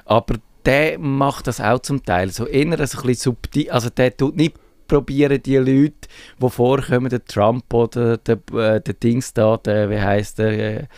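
Swiss german guy on a podcast, mid pitch, kinda halting, very dry recording. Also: fairly even intonation, darkish tone, clean tone, no room sound. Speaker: podcast co-host. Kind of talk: casual podcast chat.

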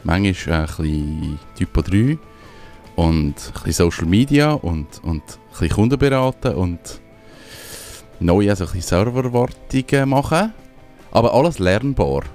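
A man's voice: deep voice, expressive, normal speed, darkish tone, soft background music. A man with a Swiss German accent chatting casually as a radio co-host, rambling and searching for his words. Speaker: radio show co-host and small business owner.

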